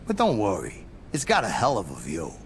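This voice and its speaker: An actor playing a mocking, cocky American mob gangster in a video game cutscene. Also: male voice, lowish voice, huge pitch swings, a little fast, a little hiss or hum. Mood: taunting. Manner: taunting, cocky.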